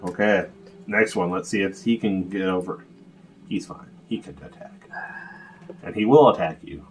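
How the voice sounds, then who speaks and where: A man's voice: low-pitched, normal speed. A man acting as game master, casually refereeing a tabletop role-playing session. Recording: music behind the talk. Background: music.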